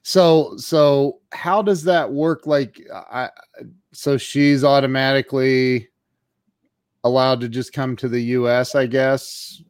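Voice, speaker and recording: A man's voice: mid-range voice, fairly even intonation, takes it slowly, smooth, dry recording, clean studio sound. A man hosting an interview show, casual and enthusiastic, often repeating words as he goes. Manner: enthusiastic, repeats words and says wow a lot. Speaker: show host.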